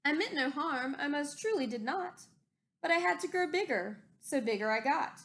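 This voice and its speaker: A woman's voice: high voice, lively intonation, normal speed, fairly bright tone. A woman voicing a greedy, defiant male factory owner from a storybook, insistent and boastful.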